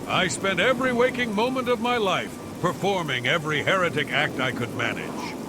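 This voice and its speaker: A man playing a boastful heretic villain in a fantasy game, pompous, theatrical and full of contempt. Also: fairly high pitch. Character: boastful, menacing heretic villain, damned priest-slayer. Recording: loud rain and thunder noise behind.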